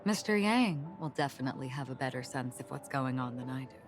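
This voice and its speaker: A low-voiced, enigmatic female wanderer with a sword, speaks in grave, measured phrases. She is calm and melancholic, a video game character with music playing clearly behind her. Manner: measured, grave, philosophical delivery. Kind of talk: scripted game voice acting.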